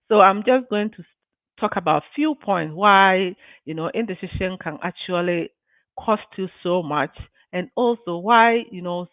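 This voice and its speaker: A woman's voice: lowish voice, no room sound. A woman from Ghana, a business mentor giving an earnest, encouraging motivational talk on a live stream, often saying "you know". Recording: dry tinny phone-like line.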